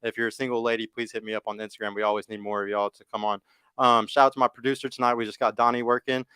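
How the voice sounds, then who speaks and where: A man's voice: mid-range voice, level tone, bright, forceful tone, clean tone, dry recording. A man hosting a casual dating podcast, hyped up and cursing freely. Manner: brisk, hype, swears freely. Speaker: dating show host.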